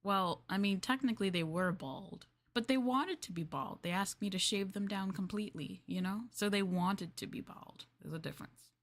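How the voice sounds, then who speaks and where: A woman livestreamer in casual roleplay chat, playing a polite, chatty maid and often dropping into soft, breathy near-whispers. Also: mid-range voice, normal speed, hardly any pauses. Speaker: VTuber livestream host.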